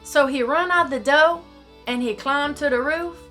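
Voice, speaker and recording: A woman voicing a folksy, excitable Cajun storyteller, reading rhymed storybook verse theatrically in a singsong dialect. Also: sits high, normal speed, faint music behind, voice pushed up. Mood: excited.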